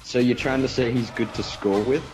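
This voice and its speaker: A man's voice: medium pitch, fairly even intonation, moderate pace, over music, low-quality recording. A man giving casual, teasing commentary on a video game with friends. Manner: teasing banter. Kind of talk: casual group gameplay commentary.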